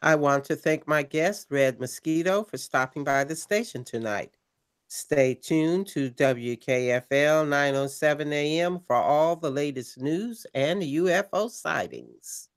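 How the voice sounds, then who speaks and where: A man playing an earnest late-night pirate radio host with a measured, showmanlike delivery in an audio drama. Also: fairly high pitch, expressive, smooth.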